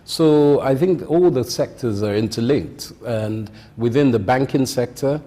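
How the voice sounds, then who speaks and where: A man with a Nigerian accent, a content creator and fashion designer answering interview questions in a measured way, with many ums. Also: mid-range voice, warm tone, in a large hall.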